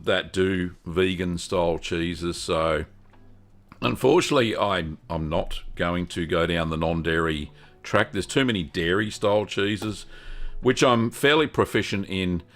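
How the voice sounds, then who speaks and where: Older man, deep voice, rambling live-stream host. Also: a bit bright. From Australia. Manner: rambling, friendly, lots of ums. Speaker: host answering cheese-making questions.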